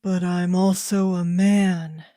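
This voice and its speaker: A woman voicing a grave, resigned king who speaks quietly and sadly, in a smooth, clear voice. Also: on the low side, flat delivery, slow pace, dry recording, clean studio sound. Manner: quiet, soft-spoken and resigned. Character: grave, sorrowful man, an abdicating king.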